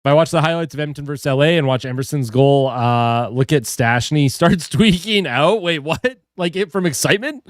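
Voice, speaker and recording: A man's voice: on the high side, brisk, hardly any pauses, dry recording, studio quality. A young man with a head cold and a stuffed-up voice, chatting casually on a hockey live stream, greeting viewers and reading their comments. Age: young adult. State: a little tired.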